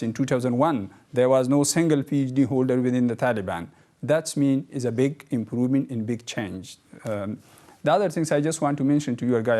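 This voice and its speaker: A man from Afghanistan, speaking as a guest in a debate and explaining his view in halting, repetitive English. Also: medium pitch, darkish tone. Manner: halting, repeats words, earnest. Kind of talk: debate discussion.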